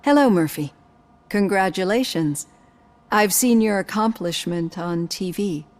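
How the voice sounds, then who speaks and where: An actress playing a composed psychologist in a video game, speaking in a calm, measured, gently probing way. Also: female voice, mid-range voice, close to an everyday voice. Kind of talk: scripted game dialogue.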